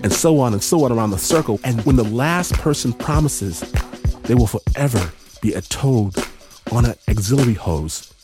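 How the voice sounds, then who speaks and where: A man's voice: mid-range voice, lively intonation, moderate pace, music in the background. A man hosting a radio podcast, reading a playful scripted outro in a theatrical, emphatic way, stretching words for effect.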